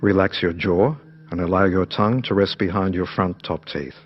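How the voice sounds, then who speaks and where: A man narrating a scripted guided meditation in a measured, instructional manner. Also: low-pitched, steady tone, highs cut off.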